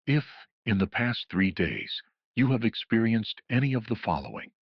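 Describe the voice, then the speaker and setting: A man's voice: fairly low pitch, big pitch swings, normal speed, breathy edge. A man narrating a scripted horror emergency broadcast as a solemn, ominous official announcer, in muffled lo-fi audio with a roomy echo.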